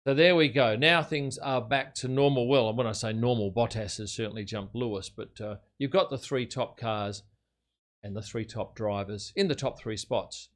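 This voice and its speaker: A man's voice: medium pitch, normal speed. An older Australian man hosting a casual livestream, chatting and commenting on a race in a matey, friendly way. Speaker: livestream host.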